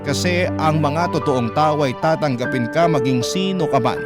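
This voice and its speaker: A man's voice: fairly high pitch, moderate pace. A man with a Filipino accent narrating a letter in an earnest, sentimental, scripted radio storytelling style. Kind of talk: emotional scripted first-person narration. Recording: loud music under the voice. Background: prominent instrumental music.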